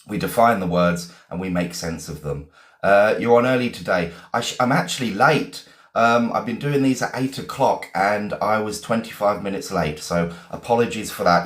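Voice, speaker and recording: Chatty English man, low voice, roomy sound. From England, Essex. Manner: chatty, greets viewers one by one, many "um" fillers. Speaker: English teacher hosting a live stream. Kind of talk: casual live-stream lesson.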